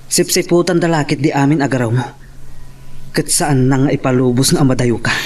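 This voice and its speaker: A man's voice: mid-range voice, brisk, a little mellow, close to an everyday voice. A man playing a worried, protective young lover in a romance drama, with an Ilocano accent. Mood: worried, urgent. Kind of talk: radio-style romance drama acting.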